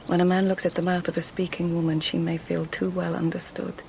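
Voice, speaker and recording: A woman's voice: lowish voice, little pitch movement, fairly quick, creaky, darkish tone, slight background noise. A woman playing a shrewd, witty lady-in-waiting at an imperial court in a radio drama, speaking in a poised, articulate, formal way. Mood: thoughtful. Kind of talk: 2000s radio drama acting.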